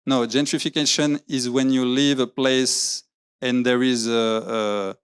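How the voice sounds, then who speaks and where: A man gives a talk in English, pausing often to hunt for words and starting his sentences over. His voice is smooth and clear at a middle pitch, steady and unforced. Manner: hesitant, searching for English words, many fillers and restarts. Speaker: graphic novelist giving a talk. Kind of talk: public talk with slides. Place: lecture venue.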